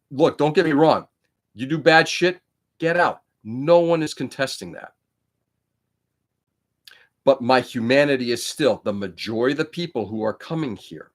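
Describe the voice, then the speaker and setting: A man whose first language is Greek, hosting a casual livestream from a room on his laptop, rambling and blunt with frequent swearing. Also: medium pitch. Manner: rambling, profane, opinionated. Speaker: livestream host building model kits. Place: indoor room.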